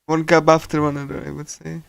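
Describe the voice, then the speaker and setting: A man streaming a visual novel, casually reading and translating game text aloud in a halting, hesitant way. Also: on the high side, completely flat delivery, gravelly, clear, resonant tone, dry recording. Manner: hesitant, halting, with small chuckles.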